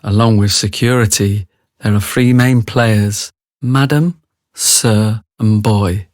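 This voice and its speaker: A man's voice: on the low side, fairly even intonation, takes it slowly, no echo, clean studio sound. A man acting as the detached, knowing narrator of a scripted radio drama. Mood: detached, wry.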